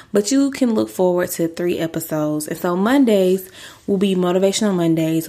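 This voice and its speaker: A woman's voice: gravelly, darkish tone. A young woman hosting a faith-based podcast, six months pregnant and a little out of breath, talking earnestly and casually to her listeners. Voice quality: deeper than usual. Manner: earnest, chatty, uses y'all. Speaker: podcast host.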